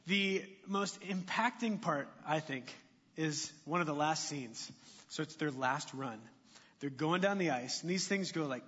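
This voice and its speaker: A man preaching in a church sanctuary, chatting and joking with the congregation between points. His voice sits high for a man. The sound is muffled, with a long reverb trailing off each phrase. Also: fairly bright tone. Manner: conversational, jokey, asks the congregation questions. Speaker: pastor. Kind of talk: sermon.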